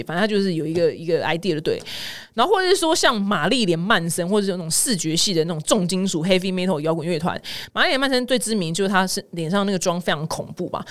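A woman podcast host with a Taiwanese accent talks at a quick clip in a mid-pitched voice. She is chatty and playful, giving casual, comedic commentary on the news. She states her opinions freely and slips into personal stories as she goes.

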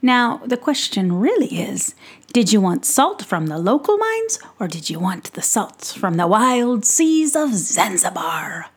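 A woman voicing a jolly, showy male pixie peddler who drags out his salesman patter in a booming voice. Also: sing-song, measured pace, slightly rough.

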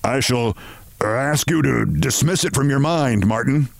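A man's voice: mid-range voice, vocal fry, pitched above the performer's own voice. A man voicing a pompous older male boss in a story, formal and regretful, hemming and hesitating as he goes. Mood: formal, commanding. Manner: halting, formal, hemming with ums and uhs. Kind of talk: storybook character voice.

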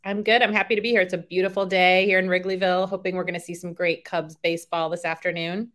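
A woman's voice: on the low side, level tone, quick pace, a bit bright. A middle-aged woman, a sports marketing executive, answering interview questions in a polished, friendly professional manner.

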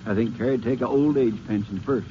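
An actor playing a friendly small-town sheriff in an old radio western, folksy and reassuring. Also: male voice, mid-range voice, fairly quick, natural voice. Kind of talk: 1950s radio drama acting. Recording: dull lo-fi old radio recording with faint noise.

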